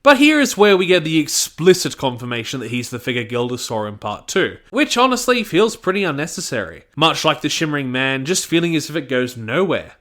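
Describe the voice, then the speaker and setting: A man's voice: on the high side, a bit bright. A man giving a scripted review and plot commentary. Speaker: audio drama reviewer.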